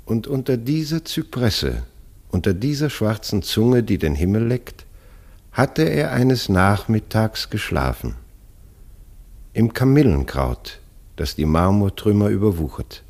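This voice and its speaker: A man narrating a literary radio play in a husky voice, with lyrical, expressive storytelling. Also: fairly low pitch, a little slow.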